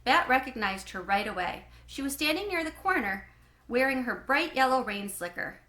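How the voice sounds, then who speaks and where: A woman narrating a children's book in an expressive, animated way, with clear phrasing. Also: high-pitched, normal speed, roomy sound. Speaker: read-aloud host.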